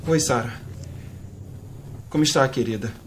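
An actor playing a rattled, talkative uncle at the wheel in a fan-dubbed video game, agitated and conversational. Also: male voice, mid-range voice, a little slow, a little hiss or hum, lightly acted. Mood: uneasy, informative. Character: rattled, talkative uncle driving the getaway car. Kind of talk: fan-dub game acting in Portuguese.

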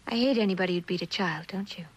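An actress playing a blunt, streetwise young delinquent with a slangy, defiant way of talking in a vintage suspense drama. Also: female voice, on the low side, quick pace, husky, low-quality recording. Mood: bitter. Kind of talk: 1960s television suspense drama acting.

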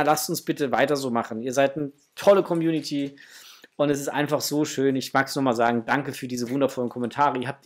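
A middle-aged man hosting a toy unboxing, chatting casually and enthusiastically to his viewers in a gushing way. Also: fairly high pitch. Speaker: host of a toy collecting channel. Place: small home room indoors.

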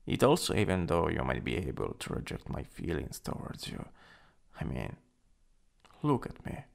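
A man playing a shy, lovestruck coworker in an intimate boyfriend ASMR roleplay, speaking softly and hesitantly, at times nearly whispering. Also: rumbling low, huge pitch swings, heavily acted. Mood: self-doubting, tender. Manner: hesitant, halting, breathy drops into near-whisper. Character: shy lovestruck male coworker confessing to his crush.

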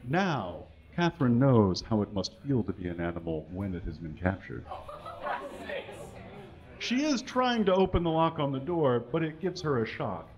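A man giving a live comedic reading of fan fiction on a small stage, mostly dramatic with deadpan asides, reading punctuation aloud. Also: medium pitch, normal speed. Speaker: comedic reader. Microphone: stand mic. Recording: dull, lo-fi live recording.

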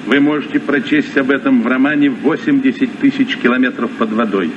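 An authoritative submarine captain played by a man guides in an old Soviet radio play. His mid-pitched voice comes through a noisy, muffled recording. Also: fairly even intonation, a little fast, lightly acted. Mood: commanding. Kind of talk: Soviet radio drama acting.

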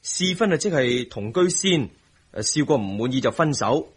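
An actor with a Hong Kong Cantonese accent playing a playful, doting young husband in a radio drama, slightly husky. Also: male voice, a little high, expressive, normal speed. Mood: playful, defensive.

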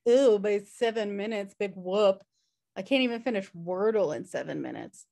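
A woman's voice: medium pitch, animated, normal speed, gravelly, mellow. A woman playing a chill, messy, sarcastic twin sister in a comedy table read on a video call. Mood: wry, exasperated. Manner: wry, sarcastic. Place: home room, video call.

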